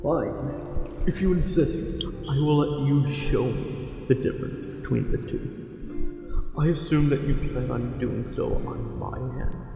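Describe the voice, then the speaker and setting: A man voicing a tender, possessive merman for an ASMR romance roleplay, speaking formally and haltingly with a breathy delivery. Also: medium pitch, warm tone. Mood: gently reluctant. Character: lovesick possessive angler merman, courtly and tender. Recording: very echoey, long reverb, music behind.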